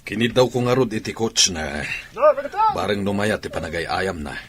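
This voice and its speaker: A man's voice: low-pitched, expressive, normal speed, a bit bright. A man playing an authoritative, encouraging basketball mentor in an Ilocano radio drama. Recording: noisy background ambience.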